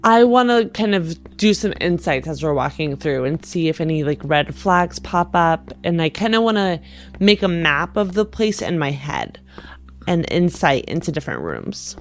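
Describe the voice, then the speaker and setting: Woman, tabletop player, casual game talk, vocal fry, light music underneath. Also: sits low, very animated, normal speed.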